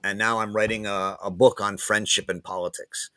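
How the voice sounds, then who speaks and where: A man, a guest professor, talking casually in an interview, pausing and repeating words as he thinks aloud. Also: lowish voice, little pitch movement, pushed and bright, no echo, low-quality recording. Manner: hesitant, many restarts and repeated words.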